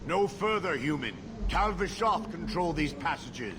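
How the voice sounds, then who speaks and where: An actor playing a stern Qunari outcast mercenary in a fantasy video game, speaking in terse, formal, measured phrases. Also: male voice, fairly high pitch, lively intonation, noisy background. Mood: guarded, stern. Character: stern horned Qunari outcast, a self-scorning male mercenary. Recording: game music behind the voice.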